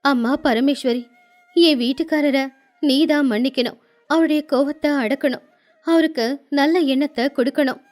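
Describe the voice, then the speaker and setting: A woman voicing a devout, gentle queen and worried wife in a moral tale, respectful and pleading in manner. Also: high-pitched, normal speed, soft background music, lightly acted. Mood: pleading prayer.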